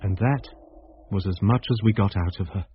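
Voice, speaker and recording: An actor playing an observant, grave narrator with a British accent, telling a mystery in a radio drama. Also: male voice, fairly low pitch, normal speed, a little hiss or hum, close to an everyday voice. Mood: eerie, intent. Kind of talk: scripted first-person radio drama narration. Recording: muffled radio recording under music.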